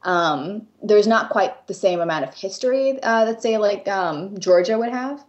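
A woman's voice: medium pitch, lively intonation, a little slow. Friendly young American-accented woman guest, slightly muffled. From Japan. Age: 20s. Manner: friendly, laughs easily, many ums. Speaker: guest artist. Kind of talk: casual interview chat.

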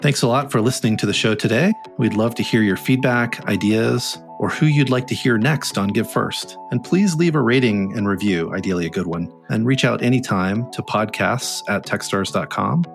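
A man hosting a podcast, asking quick interview questions and closing the show in a relaxed, conversational way. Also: medium pitch, gravelly, faint music behind. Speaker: podcast host.

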